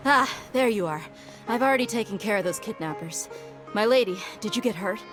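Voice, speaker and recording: A woman's voice: mid-range voice, lively intonation, brisk, natural voice. A woman voicing a young, self-assured mercenary swordfighter in an anime video game, direct and protective. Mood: confident. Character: confident, protective young female mercenary swordfighter, brisk and frank. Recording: background music behind the voice.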